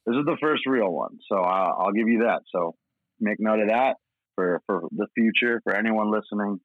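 A man's voice: on the low side, moderate pace, no room sound. An American man from Portland, Oregon, a comedian guesting on a podcast, telling anecdotes in a rambling, slangy, self-deprecating way over a tinny line. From Portland, Oregon, United States. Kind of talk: casual anecdotal chat.

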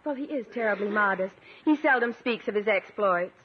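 A woman's voice: mid-range voice, fairly quick, close to an everyday voice. A woman playing a sweet, naive, doting sweetheart in a 1950s radio comedy. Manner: coy, gushing. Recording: muffled, hissy old radio recording.

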